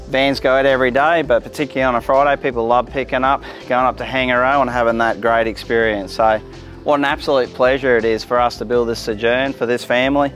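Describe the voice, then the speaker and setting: A man's voice: mid-range voice, fairly bright tone. A man, giving an informal walk-through tour of a caravan factory as company head. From Australia. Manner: upbeat, informal, gestures at fittings while walking. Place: large indoor caravan factory and van interior.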